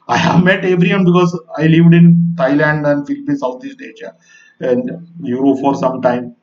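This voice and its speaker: Indian-accented male host, fairly high voice, rambling sincere monologue, roomy room, set back from the mic, slightly muffled. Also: a little mellow, far from the mic. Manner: rambling and earnest, with little laughs and repeated "guys". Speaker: spiritual video host. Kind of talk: casual monologue to camera.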